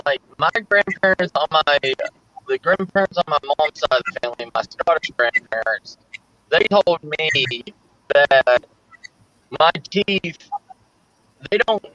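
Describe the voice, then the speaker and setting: A man chatting casually in a rambling, halting way, with lots of "like", heard over a video call mic. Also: a little high, big pitch swings, bright, forceful tone, no echo. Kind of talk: casual chat. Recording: dull lo-fi dry audio.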